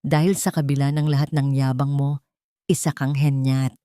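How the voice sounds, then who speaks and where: A woman acting a hushed, controlled, wronged wife. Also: extremely low pitch, flat delivery, moderate pace, no echo, studio quality, voice pushed down. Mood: cold, scornful. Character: calm, wronged wife and mother, coldly scornful. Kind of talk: dramatic audio drama acting.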